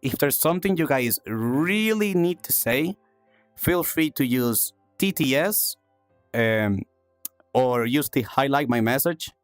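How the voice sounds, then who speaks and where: A man's voice: mid-range voice, expressive, takes it slowly, no room sound, faint music behind. A man from South America hosting a livestream, chatting casually with viewers, thanking them and repeating himself, while coughing from chest congestion. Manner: upbeat, repeats phrases, thanks viewers warmly. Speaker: streamer and talk show host.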